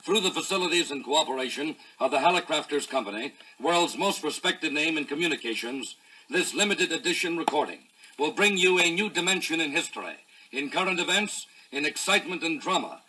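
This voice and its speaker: A man's voice: fairly high pitch, animated, brisk, pushed and bright, rough recording. An American man narrating a 1950s promotional record as host, with a dramatic announcer's delivery. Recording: raspy-edged 1950s vinyl record.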